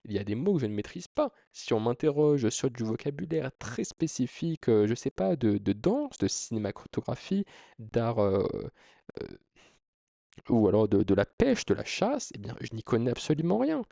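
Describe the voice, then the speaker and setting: Man, mellow timbre, creaky edges, informal explainer, earnest, persuasive, emphatic phrasing. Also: medium pitch, sing-song, moderate pace, no echo, clean studio sound. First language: French. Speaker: video creator addressing viewers. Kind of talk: informal explanatory talk to camera.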